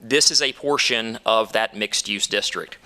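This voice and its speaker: A man presents a development plan to a town council in an upbeat, persuasive way, with the odd filler. His voice is bright and forceful, a little low in pitch, and sounds smooth and clear. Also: normal speed. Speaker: developer's attorney at a council hearing. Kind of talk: formal presentation.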